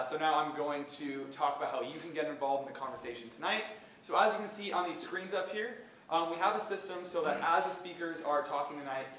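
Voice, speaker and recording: A young man, a student club president, addressing an audience in a casual, loud, quick way. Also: on the high side, a little hiss or hum. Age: young adult. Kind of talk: announcement to an audience. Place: large lecture hall. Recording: echoey hall from a distance.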